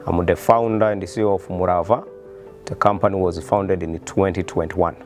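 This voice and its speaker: A man's voice: low-pitched, steady tone, normal speed, faint music behind. A man with a Rwandan accent speaking as a company founder, explaining his business in an earnest interview style. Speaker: startup founder and CEO.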